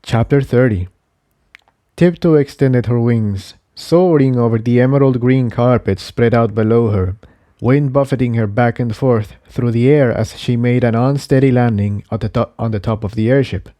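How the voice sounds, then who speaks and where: A man's voice: medium pitch, normal speed, mellow, dry recording, studio quality. A man reading a scripted fantasy adventure story as narrator. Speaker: fan fiction narrator.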